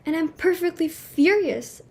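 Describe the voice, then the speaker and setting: A woman's voice: very high voice, unhurried, a little mellow. A woman playing a haughty, easily flustered society hostess mother in a stage comedy reading, scolding and indignant. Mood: furious, indignant.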